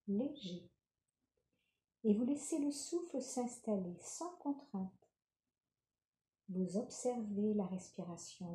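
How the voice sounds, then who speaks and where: A woman leading a guided yoga nidra relaxation, giving calm, gentle, unhurried instructions. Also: mid-range voice. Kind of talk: guided meditation, soothing instruction. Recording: roomy, reverberant sound.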